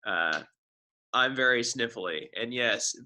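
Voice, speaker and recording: A man in a group discussion who reads others' chat comments aloud, with a halting, self-correcting delivery. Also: medium pitch, lively intonation, pushed and bright.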